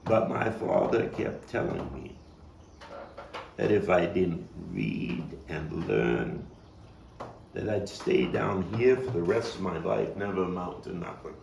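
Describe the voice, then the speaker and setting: A man performing a theatrical monologue as a street-wise, bookish Brooklyn man recalling a hard childhood, halting and reflective with dramatic pauses. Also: sits low, lively intonation, creaky, heavily acted. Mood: reflective, wry. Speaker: actor-playwright performing his monologue. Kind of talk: theatrical monologue at a table read. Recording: roomy, distant mic with faint noise.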